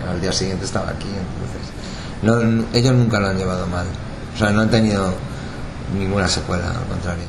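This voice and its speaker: A middle-aged man giving a documentary interview as a retired intelligence agent, speaking haltingly with many restarts and fillers. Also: low-pitched, steady tone, vocal fry. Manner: halting, restarts words, frequent filler "o sea". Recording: echoey room with background noise.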